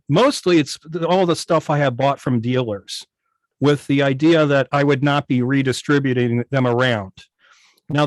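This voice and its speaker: Higher-voiced man explaining on a video call. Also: dry recording. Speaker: guest, radio station operator. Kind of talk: informal explanation in an online club meeting.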